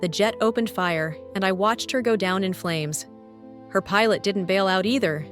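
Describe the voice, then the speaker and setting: A woman voicing a grieving, soft-hearted female warplane haunted by war, speaking in a somber, confessional way in an animated drama. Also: medium pitch, moderate pace, faint music behind, lightly acted. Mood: grieving, guilty.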